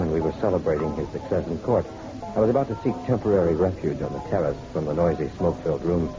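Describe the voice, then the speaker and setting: An actor playing a suave, hard-boiled private detective in a radio drama, measured and courteous with a dry edge. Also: male voice, deep voice. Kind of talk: noir radio drama acting and narration. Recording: dull radio-drama recording, music behind.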